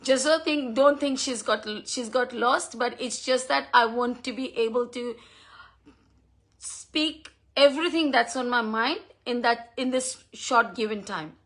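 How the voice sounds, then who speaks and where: A woman in her fifties from India, whose first language is Hindi, talking earnestly on a live stream, often pausing on um and uh. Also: on the high side, a bit bright. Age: 50s. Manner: earnest and thankful, with frequent ums and uhs. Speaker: host of a live talk. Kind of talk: personal talk on a social media live stream. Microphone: phone or computer mic on a live stream.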